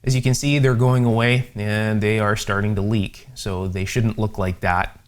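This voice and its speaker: A man giving an unhurried, methodical hands-on electronics repair demonstration, with conversational asides as he explains each step. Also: fairly low pitch, flat delivery. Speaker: host, electronics repair instructor. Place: indoor electronics workshop.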